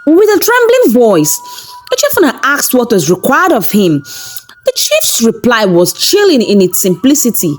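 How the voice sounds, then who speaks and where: A woman narrating a scripted folktale in a dramatic, expressive storytelling manner. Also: fairly high pitch, normal speed, rough recording. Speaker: storyteller, channel host. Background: background music.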